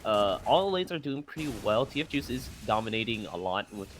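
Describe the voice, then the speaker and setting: A man giving analytic commentary on an esports match, rambling with filler pauses; Vietnamese was his first language. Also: on the low side, big pitch swings, faint music behind. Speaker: esports color caster.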